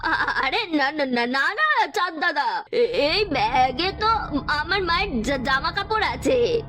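A woman's voice: high-pitched, sing-song, slow, music in the background, full character voice. Woman playing a stammering, whining cowardly thief. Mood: nervous lying. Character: cowardly village crop thief, pleading man.

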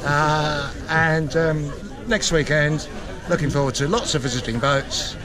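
A man's voice: a little high, steady tone, a little slow. A man in his sixties hosting a sailing club vlog, chatting casually and interviewing racers into a handheld mic, rambling and jokey. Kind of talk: casual chat. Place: outdoors under a tent at a busy sailing club. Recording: outdoor recording with loud crowd. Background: loud crowd chatter.

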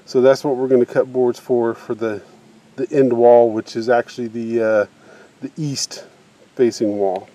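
A man's voice: mid-range voice, little pitch movement, a little slow, mellow. A middle-aged man casually explaining his backyard greenhouse build outdoors, rambling and plain-spoken with lots of fillers, recorded on a handheld camera. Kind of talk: casual how-to vlog narration.

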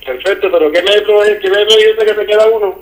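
A man's voice: sits high, fast. A man with a Venezuelan accent in a casual phone conversation as a repairman, heard over a phone line. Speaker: air-conditioning repairman.

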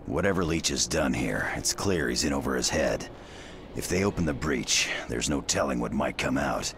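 A man playing a gruff, commanding special forces squad leader in a video game, giving clipped orders. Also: very deep voice, animated, moderate pace, background noise. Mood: grave. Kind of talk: dramatic video game acting. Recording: music behind the voice.

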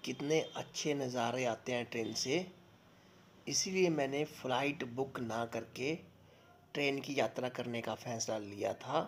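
A man's voice: mid-range voice, measured pace, a little hiss or hum. A man giving a casual, enthusiastic voice-over to viewers about a train trip. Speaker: travel vlogger. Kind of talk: voice-over narration.

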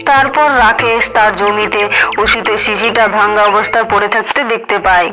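A woman's voice: medium pitch, steady tone, normal speed, pushed and bright, low-quality recording. A woman reading scripted story narration for a cartoon, with music underneath.

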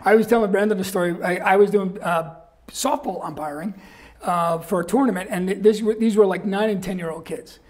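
An older man with a New York accent tells stories as an interview guest. He is animated, acts out the people he quotes, and laughs often. He is seated in a small studio. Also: sits high, a little fast. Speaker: guest, guitar company rep. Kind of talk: casual storytelling interview. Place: small studio set with backdrop.

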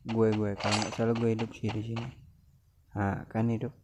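A young man from Indonesia, hoarse from a cough, chatting casually to viewers while commenting on a game replay. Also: lowish voice, monotone, normal speed, heavy background noise. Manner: slangy, chatty, keeps addressing viewers. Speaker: gaming YouTuber.

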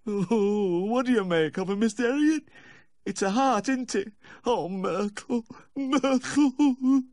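High, wailing little Yorkshireman. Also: wildly swooping pitch, normal speed, dry recording, clean studio sound. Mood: wailing distress. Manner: wailing, quavering, gasping. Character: tearful, fretful little Yorkshireman, doting dog owner, tipsy. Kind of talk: audiobook character voice.

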